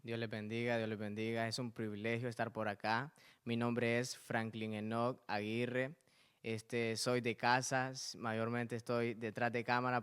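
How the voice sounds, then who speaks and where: A young man with a very smooth, resonant voice is a guest on a church program. He speaks calmly and evenly, with little rise and fall. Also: medium pitch. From Nicaragua. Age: young adult. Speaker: guest, usually behind the camera.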